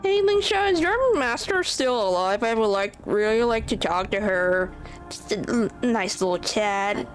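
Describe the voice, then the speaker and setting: Casual, expressive woman game streamer. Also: on the high side, unhurried, faint music behind, low-quality recording. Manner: informal, expressive reactions. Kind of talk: casual playthrough commentary.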